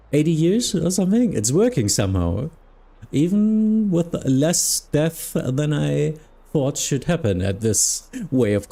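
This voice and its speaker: A man from Germany talks in English, his second language, with a clear German accent. His voice sits in a mid pitch with a dark, relaxed tone. He chats loosely with viewers, pausing and restarting as he searches for words. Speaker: flight sim streamer and air traffic control mentor. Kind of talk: casual live-stream chat with viewers.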